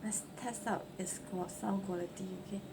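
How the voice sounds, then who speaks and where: A woman in her late forties with a Singaporean accent, giving casual, halting unboxing commentary and ending phrases with "ah". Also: mid-range voice, fairly even intonation. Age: late 40s. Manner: halting, with trailing "ah" particles. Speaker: vlogger. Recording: noisy amateur home recording.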